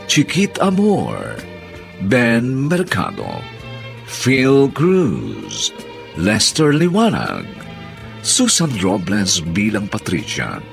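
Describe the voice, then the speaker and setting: A man with a Filipino accent announcing a radio drama in theatrical, measured scripted narration. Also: low-pitched, gravelly. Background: music.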